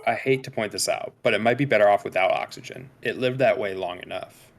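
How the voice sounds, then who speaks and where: Guy reading cool evasive science officer on a spaceship, slightly low voice, table read. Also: fairly quick, close to an everyday voice. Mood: dry, skeptical. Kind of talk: dramatic script table read.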